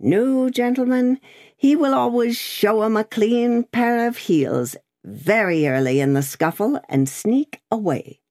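A woman voicing a blatant, bullying gentleman who hectors and scorns in a raised, blustering voice. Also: on the high side, takes it slowly, no echo, clean studio sound, pitched above the performer's own voice. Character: blatant bullying loud-mouthed gentleman, adult man.

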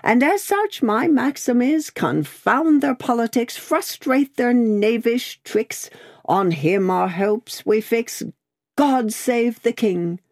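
Storybook servant woman, performed by a female reader: brisk, opinionated, loyal, higher-pitched and animated, emphatic delivery. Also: no echo, clean studio sound. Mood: emphatic, proud. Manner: emphatic, indignant, bossy but fond.

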